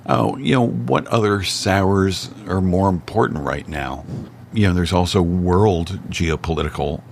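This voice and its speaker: Deep, gravelly man, wry podcast guest. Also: a little slow, a little mellow, slight background noise. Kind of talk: casual chat.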